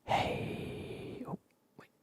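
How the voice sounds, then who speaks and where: A man's voice: very slow, heavy background noise. A man chatting in a whisper while streaming a game, with a cold coming on. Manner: whispered, chatty, repeats phrases. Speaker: gaming streamer. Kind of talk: whispered ASMR gaming livestream.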